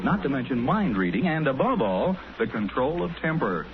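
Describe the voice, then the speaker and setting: A man's voice: mid-range voice, sing-song, normal speed, phone-quality sound. An American man announcing a 1940s radio show in a grandiose, mock-solemn scripted style. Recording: thin, band-limited 1940s radio with loud music. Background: loud music.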